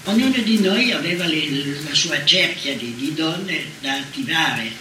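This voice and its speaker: A woman's voice: low voice, lively intonation, a little slow, faint music behind. An older woman from Italy recalling her past in a conversational testimony. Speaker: former partisan giving testimony. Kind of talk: personal oral-history testimony. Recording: echoey room, distant mic, faint noise.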